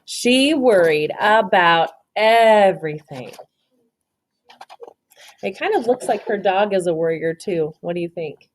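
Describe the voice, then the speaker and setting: A woman reading a children's story aloud expressively, pausing to ask simple questions and encourage answers. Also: mid-range voice, animated, slight background noise.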